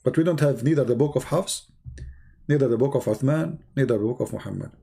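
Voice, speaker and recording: Husky, gravelly man rambling on a live stream. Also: mid-range voice, fast, dark tone. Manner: teasing, sarcastic, repeats phrases. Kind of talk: rambling religious debate live stream chat.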